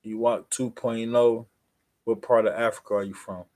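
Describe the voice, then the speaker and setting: A man with an American accent chatting casually as a livestream host, in a halting, repetitive, rambling way full of fillers. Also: lowish voice, little pitch movement, a little mellow. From New Jersey, USA.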